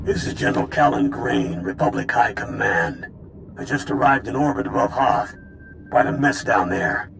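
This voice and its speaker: An actor playing a brisk, businesslike army general in a video game, with a gravelly voice. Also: male voice, on the low side, lively intonation, background noise. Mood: brisk, authoritative.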